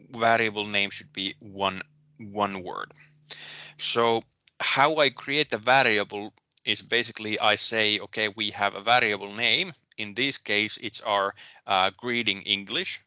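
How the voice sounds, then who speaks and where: A man with a Finnish accent giving a recorded programming lecture, pausing often with ums and restarting his sentences. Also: fairly low pitch, bright, forceful tone, smooth, no room sound. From Finland. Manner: hesitant, many ums and restarts. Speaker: university lecturer.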